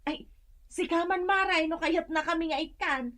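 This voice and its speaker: A woman's voice: very high voice, normal speed, a bit bright, no echo. An actress playing a poor, long-suffering village wife and mother in an Ilocano radio comedy drama, plaintive and sighing yet fond. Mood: plaintive, envious.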